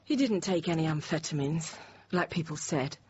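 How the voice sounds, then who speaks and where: A low-voiced, haunted teacher. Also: female voice, lively intonation, darkish tone. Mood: haunted, reflective. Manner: reflective, confiding, short halting phrases. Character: anxious, tender 30-year-old French infant teacher recounting a siege. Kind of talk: radio drama monologue, intimate first-person narration. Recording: dull radio sound with faint noise.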